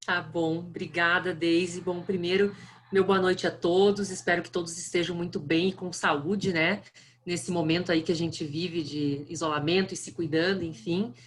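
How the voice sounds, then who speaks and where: A woman's voice: fairly low pitch, fairly even intonation, moderate pace. A middle-aged woman with a strong southern Brazilian accent, giving a friendly, chatty guest lecture over a video call. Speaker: guest speaker. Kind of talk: online lecture.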